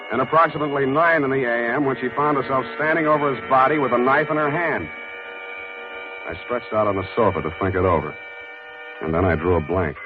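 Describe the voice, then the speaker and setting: A male American actor playing a wry, world-weary private detective in a 1940s radio drama, with a clipped deadpan delivery. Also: mid-range voice, lightly acted. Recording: muffled 1940s radio broadcast with music.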